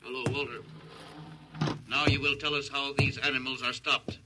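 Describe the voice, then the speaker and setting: An actor playing an emotionless, logical alien captor with stilted, formal phrasing in 1950s radio science-fiction style. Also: male voice, medium pitch, little pitch movement, normal speed, pushed and bright, rough recording. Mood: impatient, commanding. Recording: vintage 1950s radio recording.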